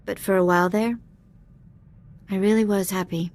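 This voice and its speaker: A woman playing a cheeky, warm-hearted young alien ex-thief in a video game, speaking in a casual, candid, slangy way. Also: medium pitch, steady tone, normal speed, lightly acted. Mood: wistful. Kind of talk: video game dialogue acting.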